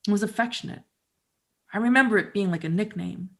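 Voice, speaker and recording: A middle-aged woman from Canada, performing a theatrical storytelling monologue in a wry, conversational, expressive way. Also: medium pitch, a little fast.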